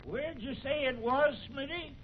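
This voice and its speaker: Tinny, high-voiced frontier prospector. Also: male voice, unhurried, soft background music. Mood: puzzled. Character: good-natured, hungry Old West prospector, the cook's partner. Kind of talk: old-time radio western acting. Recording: tinny, noisy old radio recording.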